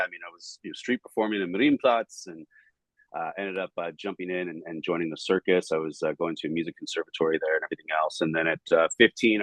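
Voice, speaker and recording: Man, deep voice, southern californian accent, forties, chatty interview guest, dry close sound. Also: dry recording, rough recording. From United States. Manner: energetic, rambling with fillers and restarts. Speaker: podcast guest, startup banking director. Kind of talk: conversational interview answers.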